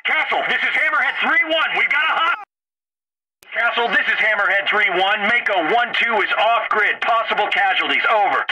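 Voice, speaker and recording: A man's voice: high-pitched, moderate pace, pushed and bright. A man playing a soldier calling in field reports in a video game, through a military radio filter. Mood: urgent. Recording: noisy military radio with static.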